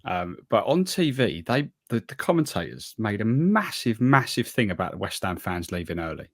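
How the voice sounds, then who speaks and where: A man's voice: on the low side, sing-song, normal speed. A man co-hosting a football podcast, ranting with exasperation and stammering restarts. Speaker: podcast co-host. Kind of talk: casual football chat.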